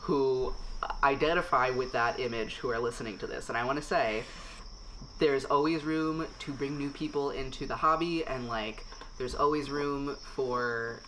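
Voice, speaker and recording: Woman, podcast host, bright tone, thoughtful and chatty, faint hiss. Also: rumbling low, fairly even intonation, moderate pace, rough recording. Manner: reflective, lots of "like" fillers. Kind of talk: casual chat.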